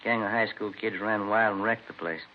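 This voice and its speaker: An actor playing a calm, courteous American police detective in a 1950s radio crime drama, matter-of-fact and clipped. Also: male voice, fairly low pitch, moderate pace, natural voice. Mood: firm, polite. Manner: deadpan, matter-of-fact, polite. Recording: dull, noisy vintage radio recording.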